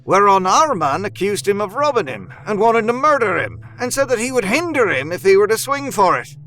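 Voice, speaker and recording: An actor playing an earnest Victorian doctor, narrating a formal letter in an audio drama with careful storytelling. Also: male voice, sits high, big pitch swings, a little fast, bright, forceful tone, full character voice. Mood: measured.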